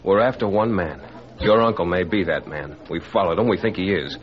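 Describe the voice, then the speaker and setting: A man plays an urgent young detective in a 1940s radio thriller. He speaks low, in short insistent sentences. The vintage recording is muffled. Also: brisk, close to an everyday voice. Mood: urgent, pleading.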